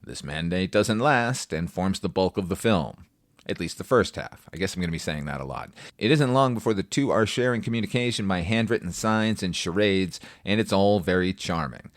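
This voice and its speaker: Deep-voiced man reviewing films. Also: lively intonation, moderate pace, no echo, clean studio sound. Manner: wry, opinionated, emphatic asides; casual swearing. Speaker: film review podcast host. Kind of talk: conversational scripted film review.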